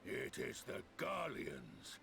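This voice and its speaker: Guy doing a proud solemn beast warrior chief, super slow n gravelly, fantasy game speech w music under it. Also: fairly high pitch, huge pitch swings, bright, forceful tone, voice pushed up, full character voice. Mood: proud, resolute. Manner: slow, formal, declamatory.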